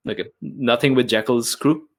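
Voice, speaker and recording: Male game player, average pitch, relaxed conversational delivery around the table. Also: moderate pace. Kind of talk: casual chat.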